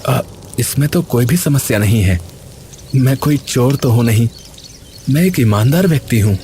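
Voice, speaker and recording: A man playing a humble, honest young village cook in an animated story, speaking hesitantly and deferentially. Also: mid-range voice, normal speed, slight background noise, lightly acted. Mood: earnest. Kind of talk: Hindi animated story dialogue.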